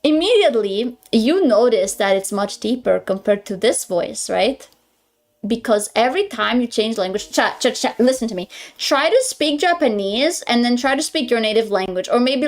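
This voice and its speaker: A woman's voice: fairly high pitch, expressive, moderate pace. A young woman from Greece with a Greek accent, chatting casually with her stream chat and often stumbling over her words. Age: young adult.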